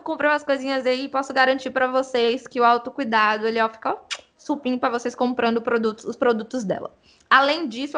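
Young woman, high-pitched, enthusiastic podcast host introducing guests. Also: fairly bright tone. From Brazil. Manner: enthusiastic, chatty slang.

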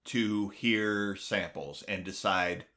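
Podcast co-host, male, slightly low and bright, halting delivery that warms up when excited. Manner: halting, many fillers and restarts, grows eager. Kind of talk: casual podcast chat.

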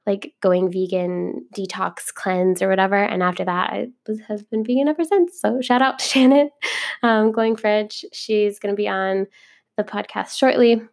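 A woman's voice: mid-range voice, normal speed, vocal fry. A young woman hosting a casual solo podcast, chatty with lots of ums and likes, a bit sniffly from a cold. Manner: chatty, filler-heavy, frequent ums and likes. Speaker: podcast host.

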